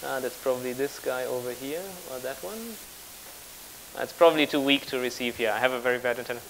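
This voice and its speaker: A man in his thirties speaking haltingly as he improvises a live technical demonstration as a conference speaker. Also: medium pitch, background noise. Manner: halting, improvising while working at a laptop. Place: large auditorium on stage with audience.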